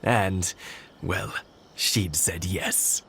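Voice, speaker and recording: An old game villager, bit deep, sentimental and shy, halting like he's reminiscing. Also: steady tone, vocal fry, pushed and bright, slight background noise, exaggerated voice. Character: elderly husband, sentimental, bashful old villager. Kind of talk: video game voice acting.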